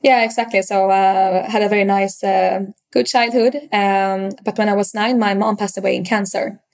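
A woman's voice: mid-range voice, fairly even intonation, normal speed, gravelly. A young woman from Sweden with a Swedish accent, a startup founder answering interview questions, hesitant, with many fillers and restarts.

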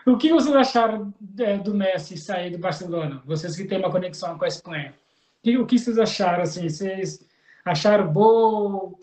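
A man hosting a podcast, chatting in a relaxed, slangy way and laughing easily. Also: high voice, normal speed, roomy sound. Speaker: podcast host. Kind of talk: casual interview chat.

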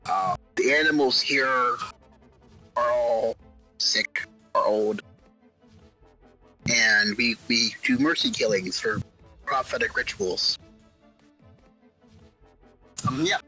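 A performer playing a casual, unsettling vampire bishop in an improvised audio drama, halting and offhand, with breathy breaks into whispers. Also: mid-range voice, animated, pushed and bright, soft background music, exaggerated voice, rough recording. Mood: unhurried, offhand. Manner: halting, offhand, drawn-out hesitant delivery. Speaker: interviewee in roleplay. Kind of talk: unscripted in-character audio drama.

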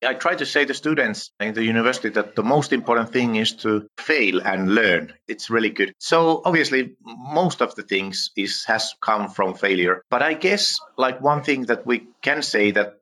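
A man's voice: medium pitch, expressive, moderate pace, hardly any pauses, pushed and bright. A man with a Finnish accent, answering interview questions earnestly, often searching for words and restarting. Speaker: guest, AI expert and author.

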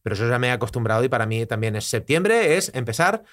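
A man from Argentina with a mild, mixed Argentine accent, co-hosting a podcast in a relaxed, reflective casual chat. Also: mid-range voice, fast talker. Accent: mild Argentine Spanish, mixed. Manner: relaxed, reflective, uses vos. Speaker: podcast co-host. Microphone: studio microphone.

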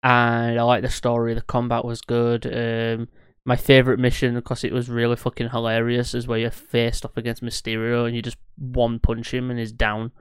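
A young man in his twenties, co-hosting a casual podcast chat, rambling and animated and swearing freely. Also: medium pitch, moderate pace, smooth, dry recording. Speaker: podcast co-host.

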